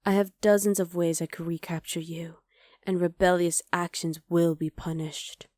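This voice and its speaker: A woman voicing a scheming, possessive witch in an ASMR roleplay, speaking softly and often half-whispering close to the mic. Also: fairly low pitch, little pitch movement, normal speed, dry recording, lightly acted. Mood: cool, menacing. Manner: soft, intimate, coolly calculating, often half-whispered. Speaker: voice actor.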